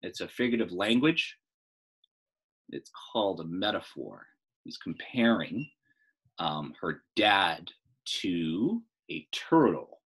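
A man's voice: sits low, sing-song, slow pace, long pauses, fairly bright tone, breathy edge, low-quality recording. A middle-aged American man reading a story aloud like a teacher, expressively, with casual explanatory asides. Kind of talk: read-aloud storytelling with informal lesson asides.

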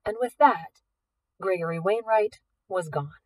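A woman reading a novel aloud as an audiobook, in expressive scripted narration. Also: medium pitch, unhurried, distant mic. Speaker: audiobook reader.

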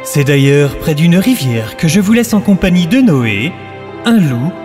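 Un homme en annonceur grandiloquent, très lent. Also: male voice, a little high, very animated. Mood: grandiose. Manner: deliberate, dramatic. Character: bombastic male narrator and trailer announcer. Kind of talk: theatrical scripted announcing. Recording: long reverb under loud music.

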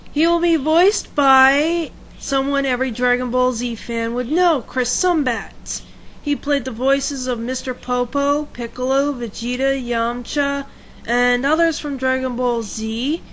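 High-pitched, hesitant woman. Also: slight background noise, low-quality recording. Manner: hesitant, self-deprecating, trails off. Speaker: fan video narrator. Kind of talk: casual halting fan announcement. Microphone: home computer microphone.

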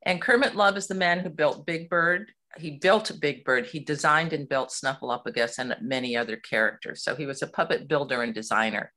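Guest: middle-aged woman from Hawaii, bright tone, lively and chatty. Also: low voice, fairly quick, few pauses. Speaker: puppeteer guest. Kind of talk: casual interview chat.

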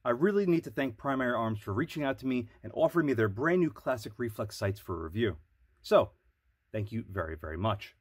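A man with a head cold, sounding nasal, giving a measured product review as the channel's host. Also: mid-range voice, animated, husky, dry recording, clean studio sound. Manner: measured, explanatory.

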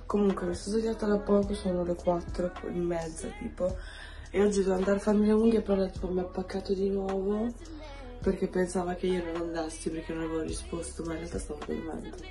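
A woman in her twenties, vlogging casually to her phone at home and chatting to viewers as she goes. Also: lowish voice. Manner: chatty, addresses viewers as "raga". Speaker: lifestyle vlogger. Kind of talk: casual chat to camera. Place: apartment, indoors. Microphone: phone camera at arm's length. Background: background music.

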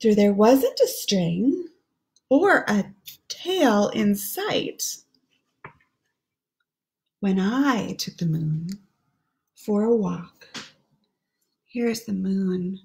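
Female, 30s, children's read-aloud: gentle, very unhurried delivery, voice a touch high. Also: gravelly. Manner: gentle, explaining pictures between read lines. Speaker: pastor reading a picture book. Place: small room at home.

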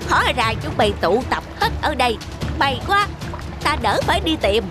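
A woman's voice: sits high, big pitch swings, normal speed. A woman voicing a greedy, grumbling predatory old crane in a children's cartoon, scheming and exclamatory. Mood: gloating. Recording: music behind the voice.

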